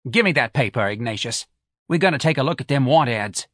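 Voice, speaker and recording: A man voicing a loud, blunt, working-class middle-aged mother who scolds her grown son in a shrill voice. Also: expressive, a little fast, no room sound, studio quality. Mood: scolding.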